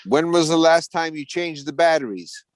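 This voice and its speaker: A man's voice: a little high, expressive, normal speed, a little hiss or hum. A man on a video call, chatting casually and asking dry, teasing questions. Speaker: call participant. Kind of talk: casual chat.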